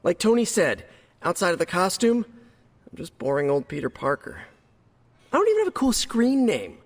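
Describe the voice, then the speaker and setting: A man's voice: high-pitched, huge pitch swings, moderate pace, long reverb tail, full character voice. A man playing a young American superhero in a game cutscene, chatty, jokey and self-deprecating, with nervous earnest rambling. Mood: nervous, joking. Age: young adult. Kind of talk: video game cutscene acting.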